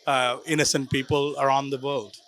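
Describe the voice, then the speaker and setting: A man's voice: medium pitch, flat delivery, normal speed, a bit bright. A man, a documentary cast member, giving brief, simple answers.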